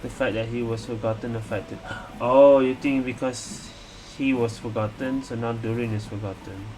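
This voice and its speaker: A man's voice: mid-range voice, fairly even intonation, moderate pace, a little mellow, a little hiss or hum, rough recording. A man giving casual reaction commentary as a gaming streamer, hesitant and repeating words as he thinks aloud.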